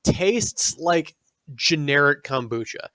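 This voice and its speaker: A man co-hosting a casual podcast, reviewing drinks in a wry, deliberate way. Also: a little high, lively intonation, a bit bright, no echo. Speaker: podcast co-host. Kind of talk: casual chat. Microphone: studio mic.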